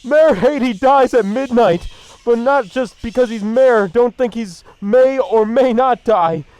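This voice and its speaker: High, cackling manic clown villain. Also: male voice, measured pace, a little mellow. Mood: manic, menacing. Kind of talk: radio drama acting.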